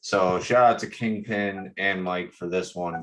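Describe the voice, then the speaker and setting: A man with a Buffalo accent talks at a slow pace in a fairly low, bright and forceful voice. He has the casual delivery of a co-host doing show-and-tell. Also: steady tone, slight background noise. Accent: Buffalo, New York. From northeastern US. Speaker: co-host of a cap and card show.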